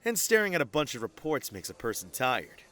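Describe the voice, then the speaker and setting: A man's voice: medium pitch, very animated, brisk, fairly bright tone. A man playing a tired, friendly detective in an audio drama, courteous and familiar in manner. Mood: friendly, weary. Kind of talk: naturalistic audio drama dialogue.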